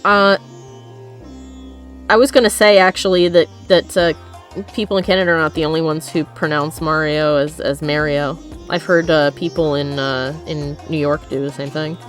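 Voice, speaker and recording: A woman's voice: sits low, fairly even intonation, moderate pace, faint music behind. A woman hosting a live stream, chatting casually, reading comments from chat aloud and laughing often. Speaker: streamer host.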